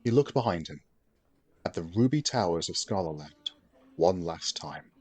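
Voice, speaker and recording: A man giving scripted fantasy story narration as an audiobook narrator, with a steady, even storytelling delivery. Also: deep voice, moderate pace, breathy edge, no room sound.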